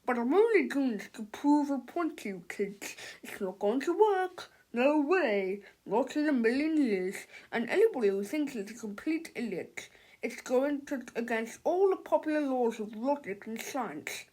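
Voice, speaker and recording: A condescending know-it-all father and scientist from a storybook, played by a woman. He sounds patronising and scoffing, in a fairly high voice. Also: animated, moderate pace, darkish tone, poor audio. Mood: smug, dismissive.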